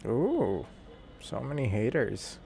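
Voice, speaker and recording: Casual game streamer, gravelly creak, unhurried pace, muttered reactions, game music faintly behind. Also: medium pitch, huge pitch swings, dark tone. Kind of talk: casual gameplay commentary.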